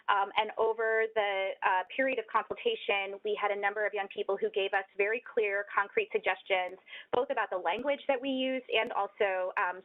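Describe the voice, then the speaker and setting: A woman presenting a webinar, talking with frequent ums and restarts. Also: mid-range voice. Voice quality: hesitant, many ums and restarts. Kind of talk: scripted-ish webinar talk with frequent fillers. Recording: tinny phone-like line.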